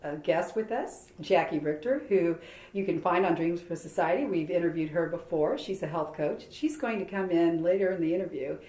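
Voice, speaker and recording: A woman's voice: lowish voice, brisk, warm tone. A woman hosting an interview, effusive and enthusiastic, heaping praise on her guest. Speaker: interview host. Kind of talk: casual interview chat. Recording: echoey room.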